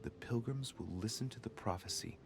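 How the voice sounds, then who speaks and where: Low male voice, actor as inquisitive young investigator, theatrical musing, video game narration, noisy rumbling background. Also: a little fast, close to an everyday voice. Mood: intrigued. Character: young man investigating his mother's secret, musing and inquisitive. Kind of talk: dramatic game narration, thinking aloud.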